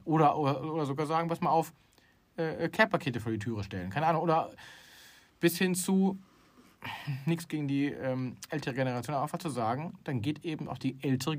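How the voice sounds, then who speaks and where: Emphatic middle-aged German man, slightly high voice. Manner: opinionated, emphatic, with many restarts. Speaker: podcast co-host. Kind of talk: casual chat.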